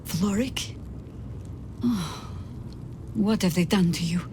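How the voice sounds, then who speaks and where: A woman's voice: medium pitch, slow pace, mellow, a little hiss or hum, natural voice. A woman playing a loyal, defiant adventurer in a video game, earnest and emphatic in her delivery. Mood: shocked concern. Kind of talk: dramatic video game acting.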